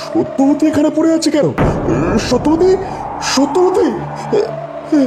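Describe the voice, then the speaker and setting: A man's voice: extremely high pitch, a little slow, loud music behind the voice. A man voicing an agitated, easily panicked village husband who repeats himself, in a cartoon moral story. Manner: agitated, repetitive. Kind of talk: animated moral story acting.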